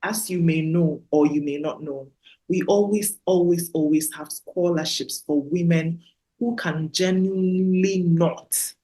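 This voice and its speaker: A woman's voice: lowish voice, fairly even intonation, unhurried, mellow. A woman hosting a podcast, speaking in a gushing, heartfelt, faith-filled way to her listeners. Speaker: podcast host. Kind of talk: effusive interview chat.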